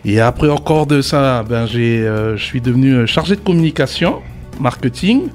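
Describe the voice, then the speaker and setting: A man, a radio guest talking about his career with relaxed hesitation. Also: mid-range voice, normal speed, faint music behind. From New Caledonia. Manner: relaxed, hesitant, many fillers. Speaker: guest, tourism agency director. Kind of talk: radio interview.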